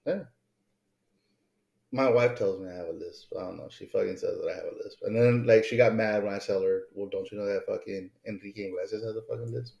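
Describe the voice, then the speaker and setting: A man with a fairly low voice trades deadpan jokes on a podcast and swears often and freely. His speech bounces in a roomy, echoey space. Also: brisk, vocal fry, lo-fi sound. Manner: joking, swears a lot, deadpan quips. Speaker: podcast co-host. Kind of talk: casual chat. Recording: echoey room.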